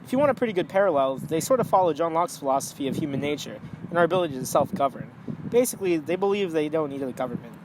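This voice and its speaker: Man playing an opinionated, slightly high-voiced 1920s author. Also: lively intonation, very fast, lightly acted. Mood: earnest. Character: opinionated, alarmist 1920s male author on radio. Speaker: talk show guest, author. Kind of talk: 1920s radio interview acting. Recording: noisy amateur recording.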